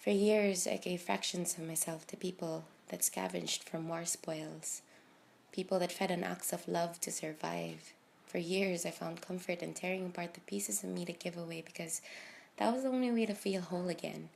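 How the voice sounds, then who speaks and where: A woman in her early twenties delivers slam poetry in a fairly low voice with a creaky, fry-laden edge. Her delivery is deliberate and emotive, setting off phrases with dramatic pauses and weighted emphasis. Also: steady tone, a little mellow, slight background noise. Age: early 20s. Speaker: slam poet. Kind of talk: slam poetry recitation, dramatic spoken word.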